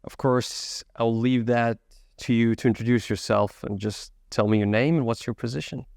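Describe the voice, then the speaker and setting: A man's voice: medium pitch, steady tone, normal speed, vocal fry, a little mellow, no room sound, clean studio sound. A man hosting a podcast, asking his guest plain, direct questions. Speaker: podcast host.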